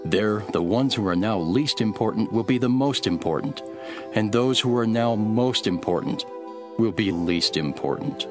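Male actor, solemn male teacher-prophet, low voice, very varied intonation, earnest preaching, scripture drama. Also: expressive, close to an everyday voice. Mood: stern teaching. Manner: measured, emphatic, preaching. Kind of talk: dramatized scripture reading over music. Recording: music behind the voice.

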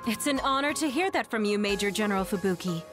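An actress playing a poised, mentoring squad leader in an anime game, polished and gently authoritative. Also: female voice, mid-range voice, lively intonation, quick pace, over music, natural voice. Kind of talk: anime video game dub acting.

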